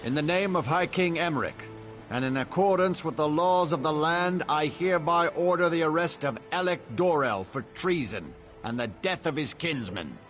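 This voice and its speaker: A man's voice: deep voice, expressive, moderate pace, fairly bright tone, faint music behind. An actor playing a proud, severe middle-aged lord and father in a video game, commanding and formal. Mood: accusing. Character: stern lordly father, lawbound, proud, unforgiving. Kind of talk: scripted video game dialogue.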